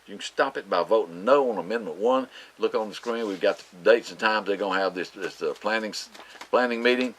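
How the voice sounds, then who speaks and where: A middle-aged Southern man hosting a local farm show, rambling through announcements with lots of "uh"s, his voice deepened by a cold. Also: brisk, a bit bright, a little hiss or hum. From Florida Panhandle, US. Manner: drawling, rambling, many "uh"s and restarts.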